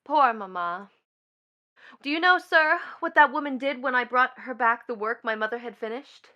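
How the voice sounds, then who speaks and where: An actress playing a bitter, proud young stepdaughter in a stage play, with an emphatic, mocking, dramatic delivery. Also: female voice, fairly high pitch, moderate pace, dull audio, natural voice. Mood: wounded scorn. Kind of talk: theatrical stage play acting.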